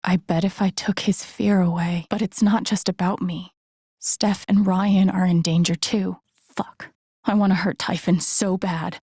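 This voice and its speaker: A woman's voice: on the low side, little pitch movement, measured pace, warm tone, slightly rough, no room sound, clean studio sound. An actress playing a troubled, earnest young woman with a wry, self-doubting streak in a naturalistic video game performance, understated and introspective. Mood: anxious, upset. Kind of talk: naturalistic video game voice acting, inner monologue.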